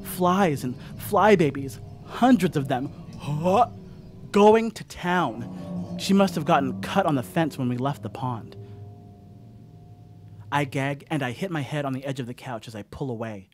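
A man's voice: on the high side, expressive, measured pace, soft background music. A man playing a rattled young slacker telling his horror story in a radio play, in a conversational, rambling, wry way. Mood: rattled, disgusted. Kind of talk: first-person horror monologue in a radio play.

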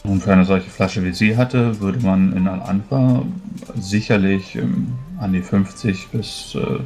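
A man roleplaying in a tabletop game, explaining things in formal, measured wording. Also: low-pitched, darkish tone. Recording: voice over background music. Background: music.

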